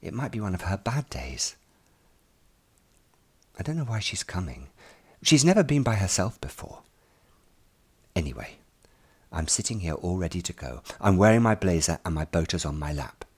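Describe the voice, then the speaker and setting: Guy reading as worried ten year old schoolboy, earnest audiobook storytelling, husky and up and down. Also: sits low, normal speed, pauses often, heavily acted. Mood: anxious waiting. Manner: earnest, fretful, expressive storytelling. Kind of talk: first-person audiobook narration in present tense.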